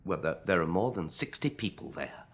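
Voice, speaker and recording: An actor playing a practical, argumentative survivor in a radio drama, speaking emphatically and persuasively like someone making a speech. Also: male voice, low-pitched, animated, brisk. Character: forceful, argumentative practical survivor urging others to plan.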